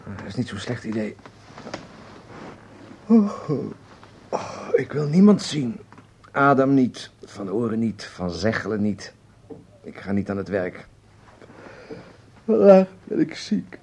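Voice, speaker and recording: An actor playing a weary, grumbling lover in a Dutch radio drama, languid and petulant. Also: male voice, fairly low pitch, sing-song, slow pace, pauses often, a little mellow, a little hiss or hum, full character voice. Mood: drowsy. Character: weary, grumbling, amorous male lover refusing the outside world.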